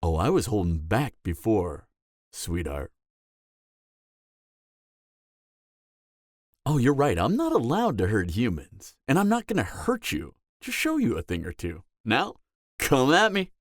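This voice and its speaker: A man's voice: mid-range voice, huge pitch swings, moderate pace, no room sound, studio quality. A man playing a cocky, flirtatious vampire mentor in an audio roleplay, lecturing in a teasing, sardonic way. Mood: flirty, goading. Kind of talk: dramatic audio roleplay acting.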